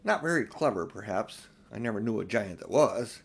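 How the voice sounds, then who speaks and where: A man's voice: fairly low pitch, lively intonation, normal speed, creaky, husky, pitched below the performer's own voice, exaggerated voice. A man voicing a small, knowing faun who explains things in a chatty, genteel way for a storybook. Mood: fond, explanatory.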